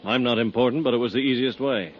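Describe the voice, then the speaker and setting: A cynical, defeated male architect from 1950s radio drama, played by an actor. His voice is of middling pitch, with short, bitter phrases and a wry, resigned edge. The recording sounds dull and dated. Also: fast talker, close to an everyday voice. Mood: resigned, resentful.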